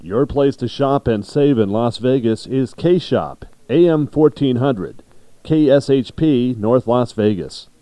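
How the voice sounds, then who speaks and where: A man delivers a radio station spot as an announcer. His pace is slow and measured, with each phrase set apart. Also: medium pitch, animated. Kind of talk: radio ad read.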